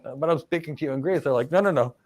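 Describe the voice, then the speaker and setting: A man's voice: on the high side, racing, a little mellow. An American man hosting a live career Q&A as a cloud career coach, answering viewer questions emphatically with blunt one-word verdicts. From United States.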